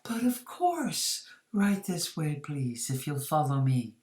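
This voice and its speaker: A woman's voice: sits low, big pitch swings, slow pace, a little mellow, roomy sound, full character voice. A woman voicing a gracious, attentive hostess with polite, welcoming speech, in a story reading.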